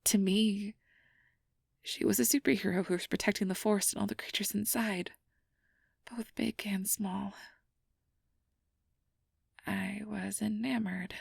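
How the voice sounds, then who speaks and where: A woman playing a nervous, earnest young fantasy researcher in an ASMR roleplay, rambling and self-doubting, with soft breathy delivery. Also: mid-range voice, fairly even intonation, measured pace, long pauses, no room sound, clean studio sound, heavily acted. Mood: wistful, soft. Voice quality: breathy, often dropping to a soft whisper. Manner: flustered, stammering, over-explaining, self-doubting.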